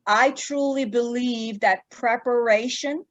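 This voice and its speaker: Slow-spoken woman from Jamaica. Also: fairly high pitch, dry recording, dull audio. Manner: measured, many fillers and pauses. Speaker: attorney, interview guest. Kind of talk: formal interview answers.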